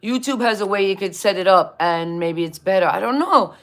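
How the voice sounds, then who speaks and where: A woman's voice: medium pitch, animated, a little fast, a bit bright, roomy sound. A woman hosting a casual live-stream interview, chatty and rambling, apologizing and laughing often. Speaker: host. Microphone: live-stream video call.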